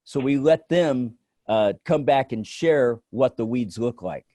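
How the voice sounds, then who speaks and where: An American man giving informal advice on a conference call, phrasing his points haltingly. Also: medium pitch, lively intonation, warm tone, dry recording. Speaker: featured guest consultant. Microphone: video call microphone.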